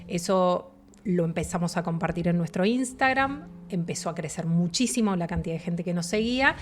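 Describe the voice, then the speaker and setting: A woman's voice: on the low side, fairly quick, a little hiss or hum. Argentine woman explaining fluently. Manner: fluent, explanatory, animated asides. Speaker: relocation agent, guest. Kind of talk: interview, explaining.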